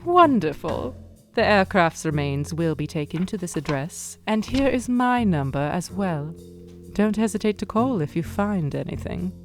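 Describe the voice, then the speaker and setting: A woman's voice: fairly low pitch, expressive, normal speed, darkish tone, faint music behind. A woman acting a determined young heiress in a radio play, cool and poised, with formal theatrical diction. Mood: poised, businesslike.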